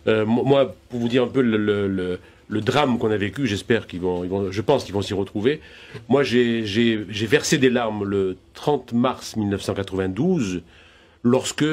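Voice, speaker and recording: A man's voice: medium pitch, a little mellow. Earnest man recalling the past. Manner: earnest, recounting personal memories. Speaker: panel guest. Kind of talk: television panel discussion.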